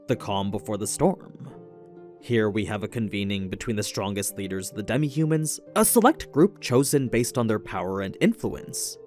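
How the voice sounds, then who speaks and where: A man reading scripted narration in a measured explainer manner, as for a recap video. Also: mid-range voice, a little mellow. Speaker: narrator of an anime lore recap show. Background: background music.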